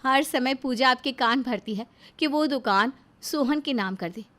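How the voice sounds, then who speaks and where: A woman's voice: sits high, unhurried, bright, forceful tone. A woman voicing a suspicious, indignant young daughter-in-law in a storybook drama, insistent and complaining. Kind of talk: acted storybook dialogue.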